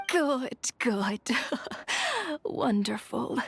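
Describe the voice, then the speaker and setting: Sweet forgetful old granny for a video game, really slow and creaky, gentle. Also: female voice, sits high, wildly swooping pitch, a little hiss or hum, exaggerated voice. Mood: pleased, tender. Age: elderly. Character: frail, forgetful, kindly old grandmother.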